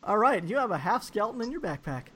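A man's voice: high voice, sing-song, fast, crowd noise. A man running a tabletop game, narrating casually with plenty of fillers and laughs. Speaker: game master.

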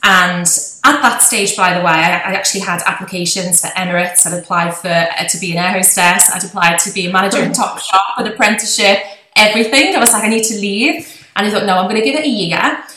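Bright-voiced Liverpool woman, chatty. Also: medium pitch, quick pace, echoey room, a little hiss or hum, poor audio. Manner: chatty and laughing often. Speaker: guest, physiotherapist. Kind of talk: podcast interview.

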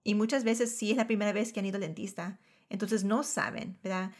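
A woman's voice: mid-range voice, steady tone, a little fast, a little mellow. A tired woman co-hosting a podcast as a dental hygienist, often searching for terms and switching into English. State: tired at day's end. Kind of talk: casual chat.

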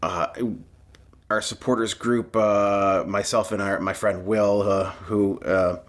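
Booming, animated man with low voice. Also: slow. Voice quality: loud booming voice. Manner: animated, talks with hands, frequent fillers and restarts. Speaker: podcast co-host, union chief steward. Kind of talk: casual podcast banter.

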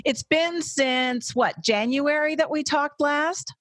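A woman's voice: sits high, slow, a bit bright, dry recording. A woman hosting a podcast interview in an upbeat, effusive, chatty way. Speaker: podcast host. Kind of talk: casual interview chat.

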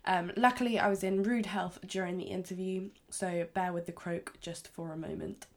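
A woman's voice: lowish voice, fairly even intonation, moderate pace. A woman hosting a podcast. She opens the show and interviews her guest in a friendly, conversational way. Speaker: podcast host.

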